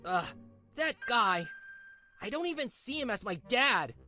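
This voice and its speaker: A woman's voice: fairly high pitch, big pitch swings, measured pace, bright, forceful tone, faint music behind, full character voice. A woman voicing a blunt, exasperated seventeen-year-old boy in a manga dub. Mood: indignant.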